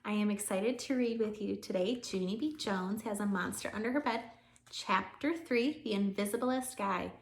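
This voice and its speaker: A woman's voice: medium pitch, moderate pace, echoey room. A woman teacher reading a children's storybook aloud to her pupils.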